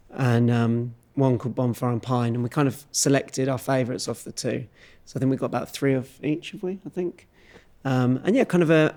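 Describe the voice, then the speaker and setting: Man in relaxed, understated interview chat. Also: medium pitch. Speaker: folk singer and guitarist.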